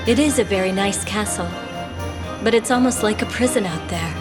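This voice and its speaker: A resolute young fantasy game princess, played by a woman, with a medium-pitched voice. She speaks formally and earnestly, with a touch of melancholy, over faint music. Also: close to an everyday voice. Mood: wistful. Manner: formal, serious, measured. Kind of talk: fantasy video game voice acting.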